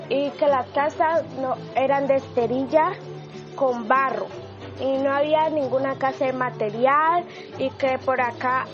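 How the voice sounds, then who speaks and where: A woman with a high voice retells a piece of local history in a plain, matter-of-fact way. The recording sounds muffled, with faint music underneath. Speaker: community radio participant.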